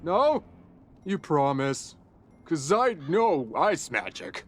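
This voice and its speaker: High-pitched, jittery cowardly townsman. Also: sing-song, takes it slowly, full character voice. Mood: panicky, suspicious. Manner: jittery, theatrical, wheedling. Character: cowardly, greedy, shifty male townsman. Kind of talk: video game character acting. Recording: game music behind the voice.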